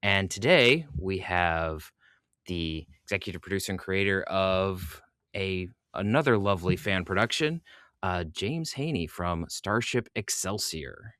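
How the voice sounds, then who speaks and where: A man with a low voice and a close, dry sound hosts a podcast. He talks in a casual, conversational interviewing style. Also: lively intonation, a little slow, fairly bright tone, no echo.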